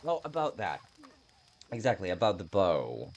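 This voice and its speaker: A man giving casual game playthrough commentary in a halting, self-deprecating way, swearing freely. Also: deep voice, wildly swooping pitch, darkish tone, dull audio. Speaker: game streamer.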